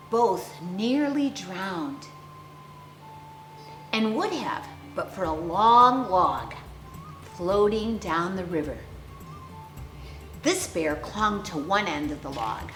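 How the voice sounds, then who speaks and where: A woman storyteller reading a picture book aloud to young children with theatrical, deliberate phrasing and dramatic emphasis. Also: lowish voice, a bit bright. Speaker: children's storyteller, clown character host. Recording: roomy echo, faint music behind.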